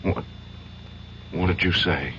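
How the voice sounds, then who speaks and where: Earnest troubled young lawyer, educated voice, old radio play, muffled and really hissy. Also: male voice, low voice, slow, bright, forceful tone, heavily acted. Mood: uneasy. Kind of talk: radio drama acting.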